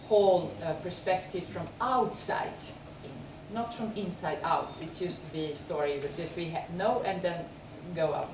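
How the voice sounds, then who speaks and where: A woman with a Serbian accent hosting a film festival, speaking unscripted and haltingly as she searches for words. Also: fairly low pitch. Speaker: film festival host introducing films and guests. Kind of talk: unscripted spoken introduction and interview. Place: echoey screening venue. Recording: echoey, noisy room, far from mic.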